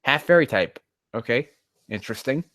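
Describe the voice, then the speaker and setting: A man's voice: medium pitch, expressive, normal speed, fairly bright tone, no echo, studio quality. A man reading fan fiction aloud and mocking it casually, rambling and swearing in exasperation, stumbling over the text. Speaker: host reading and critiquing amateur fan fiction.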